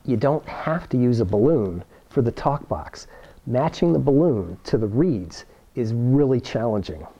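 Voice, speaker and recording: A man's voice: medium pitch, lively intonation, a little slow, dark tone, highs cut off. A man, giving a plain-spoken, encouraging how-to demonstration to camera as an instructor. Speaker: science toy-making instructor. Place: indoor workroom with cabinets.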